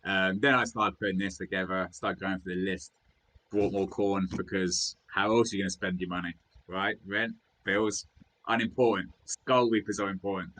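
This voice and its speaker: A man with a British accent hosting a casual hobby chat, chatty and quick with jokes, laughing often. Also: deep voice, fairly even intonation, a bit bright, poor audio. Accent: British English. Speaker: podcast host.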